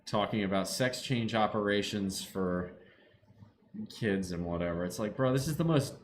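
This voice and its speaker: A man chatting casually to his live stream from home, slipping into sudden excited yelling at a football game. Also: on the low side, moderate pace, a little hiss or hum. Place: home room.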